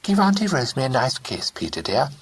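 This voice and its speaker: A man's voice: mid-range voice, wildly swooping pitch, fast talker, husky, pitched above the performer's own voice. A man voicing a fussy young mother, coaxing and bossy by turns.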